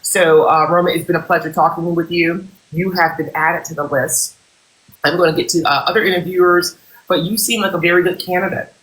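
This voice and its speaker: Woman host, mock-serious CEO interviewer, pompous, bright tone. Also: lowish voice, fairly even intonation, brisk, rough recording. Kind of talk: improvised comic roleplay interview.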